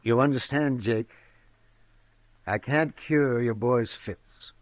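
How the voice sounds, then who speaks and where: A man's voice: medium pitch, slow pace, dry recording, close to an everyday voice. An American man acting an irascible, principled frontier town doctor in a crusty, emphatic Western radio drama style. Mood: grave, weary. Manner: crusty, emphatic, hesitating sputters. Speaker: actor.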